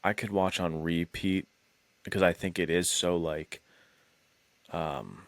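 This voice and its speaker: A man's voice: low-pitched, fairly even intonation, takes it slowly, dry recording. A man talking casually about films as a podcast co-host, laid-back and enthusiastic, with plenty of slang. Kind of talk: casual film discussion chat.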